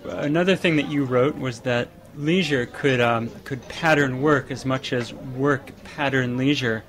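A man at a middle pitch asks interview questions, curious and a little hesitant, pausing with fillers as he frames each one. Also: a bit bright. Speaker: podcast host interviewer.

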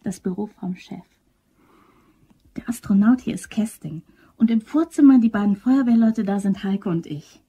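A woman's voice: medium pitch, normal speed, warm tone, husky. A woman playing an eager, naive young woman in a German crime radio drama, chatty and insistent. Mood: excited, proud. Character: eager young woman devoted to her boyfriend, scheming, upbeat.

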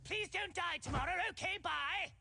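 A man voicing an awkward serpent demon in an animated comedy, halting, formal and theatrical. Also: very high voice, vocal fry, heavily acted. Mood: nervous affection. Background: music.

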